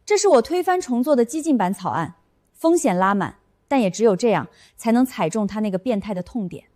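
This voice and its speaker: A woman plays a bold young office worker in an animated short drama. Her voice is high, and she argues bluntly and persuasively, refusing to back down. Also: fairly quick, natural voice. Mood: defiant. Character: bold, defiant young female office employee.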